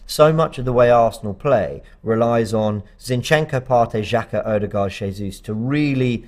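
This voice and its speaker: A man's voice: mid-range voice, moderate pace, darkish tone. A man co-hosting a live football fan show, talking casually and rambling with lots of fillers and restarts. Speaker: fan channel co-host.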